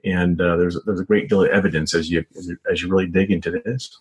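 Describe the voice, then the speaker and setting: A middle-aged or older man answering as an interview guest, speaking conversationally and haltingly, often repeating words and saying "you know". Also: sits low, steady tone. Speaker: interview guest, journalist and author.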